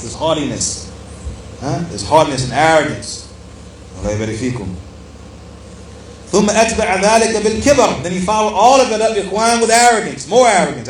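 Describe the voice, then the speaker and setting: A man giving an Islamic religious lecture as a teacher, explaining a text emphatically and repeating key phrases for stress as he addresses his listeners. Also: a little high. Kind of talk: religious lecture, reading aloud from a book and explaining it. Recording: echoey room with faint background noise.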